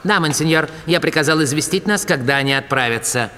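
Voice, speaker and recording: A man's voice: a little high, a little fast, sharp tone, big-room sound. A man voicing a sly villainous dog officer in a cartoon, deferential to his master and sure of himself. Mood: servile, confident. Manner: deferential, assured. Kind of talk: dubbed cartoon acting.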